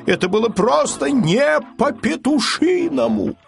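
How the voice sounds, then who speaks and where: A man's voice: very high voice, sing-song, a little slow, gravelly, soft background music. A man reading a children's story audiobook as its narrator.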